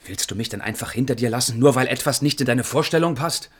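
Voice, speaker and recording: A man's voice: medium pitch, fast, breathy edge, big-room sound, lightly acted. A man acting as a brooding, evasive lover and narrator in a 1980s German radio play. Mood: urgent. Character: evasive adult male lover, pleading, alternately dry narrator.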